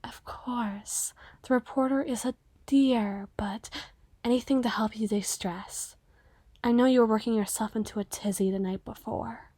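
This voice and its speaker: A doting yet quietly menacing monster woman acted by a female performer. She talks in a lowered, measured, breathy half-whisper, very close and dry, as intimate roleplay audio. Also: steady tone, no room sound. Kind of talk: ASMR roleplay acting.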